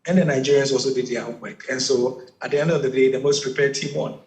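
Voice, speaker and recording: A man's voice: medium pitch, fairly even intonation, fast, darkish tone, reverberant, low-quality recording. A man from Ghana giving conversational football analysis as a studio pundit, with frequent restarts.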